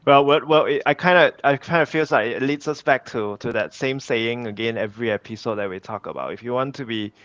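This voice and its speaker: A man's voice: medium pitch, a little fast, hardly any pauses, a bit bright, no echo, highs cut off. A man hosting an interview, asking questions in a casual way with some hesitant fillers. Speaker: host.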